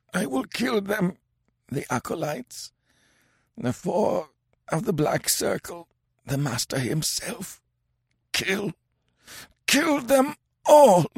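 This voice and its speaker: Kemsa, a dying sorcerer's high racking croak. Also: male voice, a little mellow, no room sound, studio quality, exaggerated voice. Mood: raving. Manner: raving, drooling, gasping. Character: dying mangled male sorcerer, raving and vengeful. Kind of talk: audiobook character voice.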